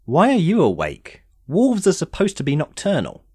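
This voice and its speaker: A man's voice: on the high side, wildly swooping pitch, warm tone, husky, no room sound, exaggerated voice. Immortal sorcerer played by a man, audio drama: suave, teasing, formal, swooping mid-range voice, very dry sound. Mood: evasive, defensive. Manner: measured, theatrical, formal phrasing.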